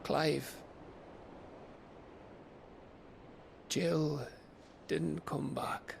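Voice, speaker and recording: A man acting a blunt, foul-mouthed, loyal working-class outlaw in a video game, with a British accent and gravelly voice. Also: fairly high pitch, fairly even intonation, extremely slow, a little hiss or hum, exaggerated voice. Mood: blunt, grim.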